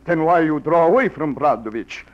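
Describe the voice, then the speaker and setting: A man's voice: a little high, expressive, moderate pace, natural voice. An actor playing a man who fakes a Russian accent and broken English as a blustering salesman, in a 1940s radio play, on a lo-fi old recording. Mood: wooing. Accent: put-on Russian. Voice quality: broken English, laughing, theatrical.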